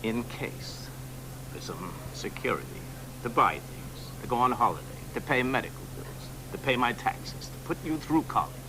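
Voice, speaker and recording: A man's voice: medium pitch, sing-song, moderate pace, close to an everyday voice. An actor playing a stubborn middle-aged father who talks in clipped, stern lines in a radio drama. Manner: clipped, stubborn, flares into anger. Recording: hissy broadcast recording.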